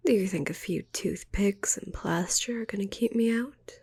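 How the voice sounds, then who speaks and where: A woman's voice: mid-range voice, moderate pace, darkish tone, no echo. A woman voicing a possessive, sweetly menacing witch in a cooing, condescending ASMR roleplay whisper. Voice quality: breathy, creaky whisper.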